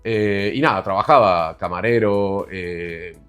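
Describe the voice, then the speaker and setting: A man's voice: on the low side, a little slow, a bit bright, faint music behind. A man in his forties from Argentina, telling his story as an interview guest with many fillers and restarts. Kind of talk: conversational interview.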